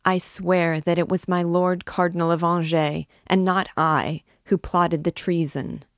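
A man's voice: a little high, flat delivery, unhurried, dry recording, clean studio sound. A woman voicing a desperate male prisoner, begging the king for mercy in tearful pleas. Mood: pleading.

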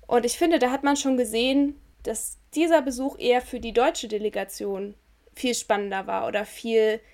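Woman with a gravelly, creaky voice. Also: on the high side. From Germany. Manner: thoughtful, measured, searching for words. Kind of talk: reflective conversational chat.

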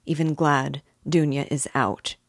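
Grave young man, low and slow, solemn, dry close sound, read by a woman. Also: fairly even intonation, dry recording. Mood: earnest. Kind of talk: audiobook character reading.

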